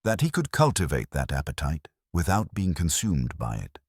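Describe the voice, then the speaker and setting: A man's voice: a bass voice, huge pitch swings, moderate pace, breathy edge, dry recording, studio quality, full character voice. A man voicing an imperious, cerebral detective in an audiobook, speaking in formal, precise pronouncements. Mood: reflective. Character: imperious, cerebral male detective, measured and stern.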